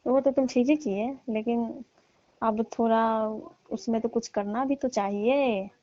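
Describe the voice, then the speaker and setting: A woman's voice: on the high side, fairly even intonation, unhurried, dark tone, no room sound, natural voice. A woman playing a teasing, mock-annoyed middle-aged auntie chatting casually and coyly on a phone call. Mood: mock scolding. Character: scolding, coy neighbourhood auntie. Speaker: caller in a staged comic phone call. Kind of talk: casual flirtatious phone chat. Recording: dull lo-fi phone-call audio.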